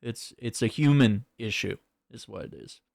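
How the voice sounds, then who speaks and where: A man hosting casual political commentary, reacting to clips in a halting way and often repeating his words. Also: on the low side, expressive, gravelly, darkish tone, dry recording, clean studio sound.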